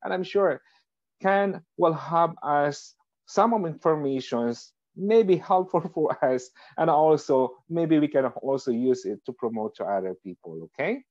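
A man's voice: a little high, measured pace, darkish tone, dry recording. A man hosting an online meeting, speaking with loose grammar and fillers. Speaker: meeting host. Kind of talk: video call meeting. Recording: dull dry video call audio.

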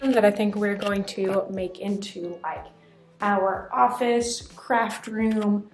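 A young woman giving a chatty, excited tour of an empty apartment, filming herself with a handheld camera. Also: mid-range voice, takes it slowly. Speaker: vlogger. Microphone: handheld camera at arm's length. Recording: echoey empty room with faint music.

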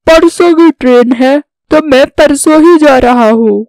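Woman voicing an earnest young soldier, high-pitched. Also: measured pace, darkish tone, no room sound. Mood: eager. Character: earnest, patriotic young man becoming a soldier. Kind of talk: scripted Hindi cartoon story dialogue.

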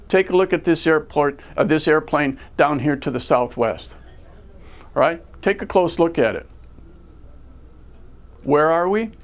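An American man in his sixties giving a deliberate, step-by-step lesson to camera as a flight instructor, recorded indoors on a lapel mic. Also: a little high, creaky. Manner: deliberate, step-by-step teacherly delivery. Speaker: flight school ground instructor. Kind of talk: instructional lecture to camera with rhetorical questions. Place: indoor video studio. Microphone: lavalier clipped to shirt.

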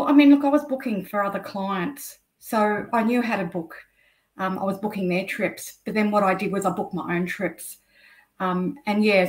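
A woman's voice: mid-range voice, a little mellow. A middle-aged woman with an Australian accent, explaining at length as an interview guest, with many ums. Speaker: guest, travel blogger. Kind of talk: video call interview. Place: indoor room. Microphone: video call. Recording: echoey room over video call.

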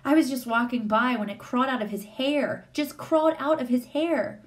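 A woman's voice: mid-range voice, normal speed, lightly acted. A woman voicing a prim, nervous female schoolteacher in a story, proper and polite in her speech. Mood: desperate.

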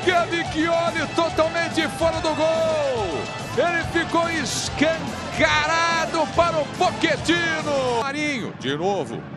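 Male football caller with a very high voice, long shouted goals over loud music. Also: takes it slowly. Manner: long shouted goal calls. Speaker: football commentator. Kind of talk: live football commentary. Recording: loud crowd and music behind.

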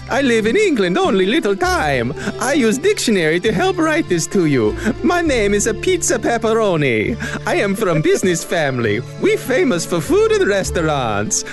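A man voicing a Sicilian businessman who writes in broken English, with a put-on Italian accent. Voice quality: high, sing-song, broken English.

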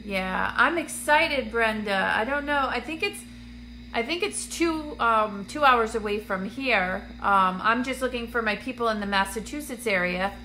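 A bright-voiced woman chats on a phone live stream from a dim room, greeting viewers by name between plenty of ums. She sounds congested and a touch raspy, with a faint hum behind her. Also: fairly high pitch, expressive, unhurried, rough recording. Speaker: medium hosting a live stream. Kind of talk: casual livestream chat to viewers. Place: dim indoor room, seated on a couch. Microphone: phone camera at arm's length.

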